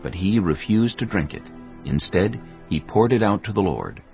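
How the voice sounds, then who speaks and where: A man with a gravelly, husky voice giving solemn, measured scripted narration of a dramatized Bible. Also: deep voice, animated, highs cut off, soft background music.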